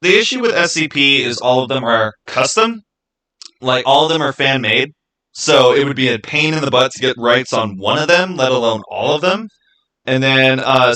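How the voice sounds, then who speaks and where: A man's voice: medium pitch, bright, forceful tone, poor audio. Casual, rambling male livestreamer. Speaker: livestream host. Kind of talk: casual livestream chat, answering viewers' comments.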